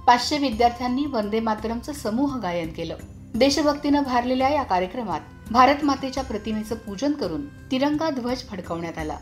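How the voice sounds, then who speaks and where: A woman radio newsreader giving a formal, measured scripted news report. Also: a little high.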